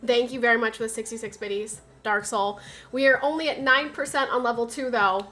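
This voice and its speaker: A young woman with a nasal voice, stuffed up by a cold, talking to her viewers in a bright, slightly high register. She drifts loosely from story to story, packing her sentences with fillers and restarts. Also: a little fast. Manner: rambling, filler-heavy storytelling. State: has a cold, stuffy sinuses. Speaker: streamer. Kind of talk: casual livestream chat.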